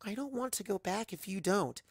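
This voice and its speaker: A man's voice: sits high, expressive, normal speed, creaky, dry recording, clean studio sound, voice pushed up, full character voice. A man voicing a flippant, whiny young girl pony with a sulky, offhand manner. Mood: flippant, stubborn.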